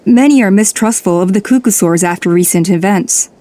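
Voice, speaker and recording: Woman as a mellow, composed authority figure. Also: mid-range voice, slight background noise, close to an everyday voice. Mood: measured, concerned. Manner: formal, measured. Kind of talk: anime video game voice acting.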